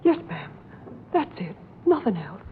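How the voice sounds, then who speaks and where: An actress playing an ailing, frightened housemaid, pleading in a hushed, deferential way in old-time radio horror. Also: female voice, medium pitch, sing-song, measured pace. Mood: timid, deferential. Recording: tinny band-limited old radio, hissy.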